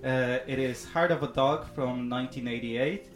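A man's voice: medium pitch, normal speed, soft background music. A man co-hosting a film podcast in casual chat, laughing at himself as he stumbles over names. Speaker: podcast co-host. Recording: echoey room with faint noise.

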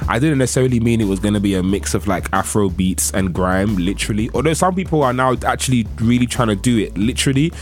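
A man's voice: fairly low pitch, fast talker, hardly any pauses. A young man, an MC raised in London by Nigerian parents, talking casually about his music with many fillers. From Nigerian parents, grew up in London. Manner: relaxed, chatty, fillers and "like". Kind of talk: casual interview talk.

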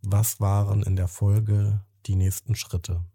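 Host: male, low and gravelly, slow, calm and reassuring, dry close mic. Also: no echo. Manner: calm, reassuring, sums up what the guest said. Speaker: podcast host and interviewer. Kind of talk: gentle interview questioning.

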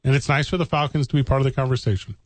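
A man's voice: medium pitch, steady tone, racing, no room sound. A man from the St. Louis area co-hosting a casual sports podcast, riffing and joking. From St. Louis area, USA. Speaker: podcast co-host.

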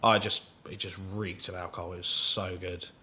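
Bright-voiced easygoing beer shop guy. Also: deep voice, little pitch movement, moderate pace, slight background noise. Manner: easygoing and chatty. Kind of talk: casual chat.

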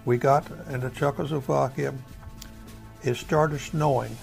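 A man's voice: mid-range voice, steady tone, a little slow, soft background music. An elderly American man, a war veteran telling his memories in a folksy, rambling way, searching for words. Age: older, over 70. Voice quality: gravelly. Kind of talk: oral history storytelling.

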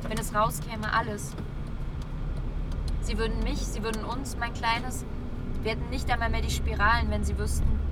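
A woman reading literary prose aloud in a measured, rhythmic, poetic way. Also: fairly high pitch. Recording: loud traffic noise outdoors.

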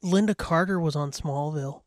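A man's voice: fairly high pitch, normal speed, no room sound, studio quality. A man co-hosting a podcast, talking casually about films and shows in a blunt, sweary way. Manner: blunt, profane. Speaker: podcast co-host.

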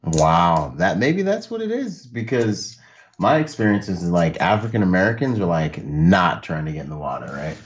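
A man's voice: low-pitched, very animated, moderate pace, dull audio. A man from the Bahamas, a podcast guest telling stories casually, laid-back and laughing often. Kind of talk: casual conversational storytelling.

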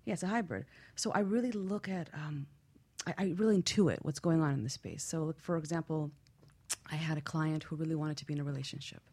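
A woman with a husky voice speaking as a guest expert on a radio show, explaining things in measured, structured examples with anecdotes. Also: low voice, a little mellow. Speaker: guest expert, feng shui practitioner.

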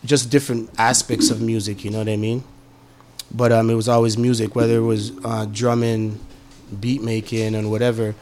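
A man's voice: on the low side, little pitch movement, normal speed, a little mellow, slight background noise. Middle-aged man, musician guest, easygoing slangy storyteller. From Toronto, Canada. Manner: laid-back, slangy, keeps saying "you know what I mean". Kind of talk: casual interview storytelling.

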